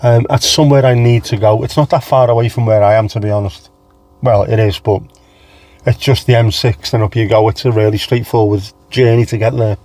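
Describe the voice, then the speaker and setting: A man with a light, not very deep Scouse accent hosts a casual livestream. He rambles easily from one thought to the next and greets his viewers by name. His voice sits at an everyday pitch with a mellow, dark-toned warmth. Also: fairly even intonation, a little fast, no echo. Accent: mild Scouse. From England. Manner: chatty, rambling, reads out chat names and answers them. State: low on energy. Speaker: livestream host.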